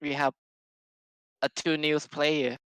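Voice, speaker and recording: Clear-voiced non-native English speaking man, halting phrasing. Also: on the high side, little pitch movement, fairly bright tone, no room sound. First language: not English. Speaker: podcast guest, esports player. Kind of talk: casual chat.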